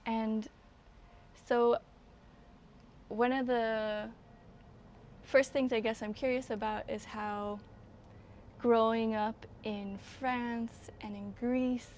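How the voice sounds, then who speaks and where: A woman hosting a conversation, introducing her guest and asking gentle, thoughtful questions. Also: fairly high pitch, fairly even intonation, slow pace, slight background noise. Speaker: podcast host. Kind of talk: interview hosting.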